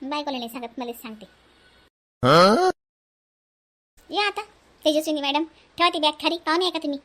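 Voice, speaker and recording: Outspoken, sarcastic younger sister-in-law for a family cartoon, played by a woman: high, rapid, emphatic, Varhadi Marathi accent. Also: expressive, fairly bright tone. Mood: mocking. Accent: Varhadi Marathi from Vidarbha. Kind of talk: homely family cartoon voice acting.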